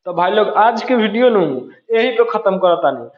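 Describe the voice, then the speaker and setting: A man's voice: sits high, lively intonation, normal speed. A man with a Bhojpuri accent hosting a roast video, giving cheeky, mocking, crude commentary. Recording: roomy, slightly dull, music behind.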